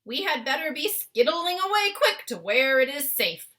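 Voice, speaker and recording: A woman voicing a gentle, friendly male storybook giant who explains things earnestly in folksy broken grammar. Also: high voice, big pitch swings, moderate pace, voice pushed up, heavily acted. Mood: urgent.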